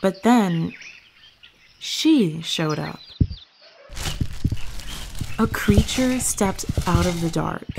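A woman playing an earnest young witch who tells her story in a measured way, as in an audio drama. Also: medium pitch, gravelly, background noise. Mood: tender, awed. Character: earnest young witch fondly recounting meeting her familiar. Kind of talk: audio fiction monologue, storytelling.

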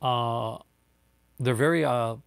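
A man's voice: mid-range voice, slow, vocal fry, smooth, dry recording, studio quality. A man in his forties with a light Newfoundland accent, chatting casually and rambling with many fillers and restarts on a live stream from home. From Newfoundland, Canada. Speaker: YouTuber answering viewer chat. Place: home room with bookshelves, indoors.

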